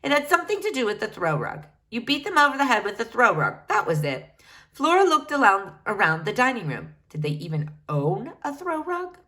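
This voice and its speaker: A woman's voice: a little high, big pitch swings, normal speed, a bit bright. A woman reading a children's novel aloud, shifting her voice for each character as she goes. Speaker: reader.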